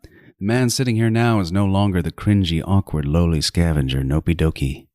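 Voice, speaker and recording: A man's voice: low-pitched, moderate pace, darkish tone, no room sound, studio quality, natural voice. An actor playing a meticulous, self-styled artist serial killer in a horror audio drama, savoring his words theatrically with swooping emphasis. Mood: proud, reflective. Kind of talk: first-person horror audio drama monologue.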